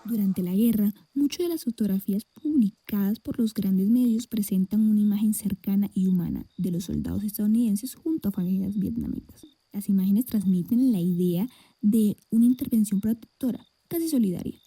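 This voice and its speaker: A woman playing a grave news reporter, with husky, breathy, formal scripted narration. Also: mid-range voice, moderate pace, dark tone, no room sound.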